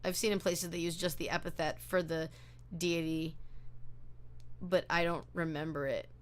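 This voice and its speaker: Lowish-voiced woman host, loose and rambling, on a video call. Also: steady tone, moderate pace. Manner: chatty, rambling, many fillers and restarts. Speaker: book club host. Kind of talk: casual book discussion over a video call.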